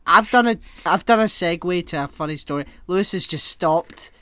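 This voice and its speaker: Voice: mid-range voice, moderate pace, no echo. A podcast co-host chatting casually and swearing freely while telling rambling comic stories. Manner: rambling, animated storyteller.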